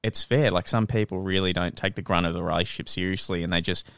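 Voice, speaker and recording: A young Australian man co-hosting a casual podcast, swearing freely and getting loud and high when joking, close on a studio mic in a living room. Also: fairly even intonation, fast talker, vocal fry, clear, resonant tone, no echo. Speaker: podcast co-host. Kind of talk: casual chat. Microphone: studio mic on boom arm.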